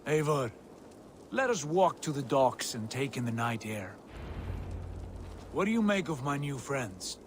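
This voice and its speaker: A man's voice: medium pitch, sing-song, measured pace, long pauses, slight background noise. An actor playing a proud, worldly Viking warrior back from his travels, talking like a storyteller in a video game cutscene. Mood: warm, confiding.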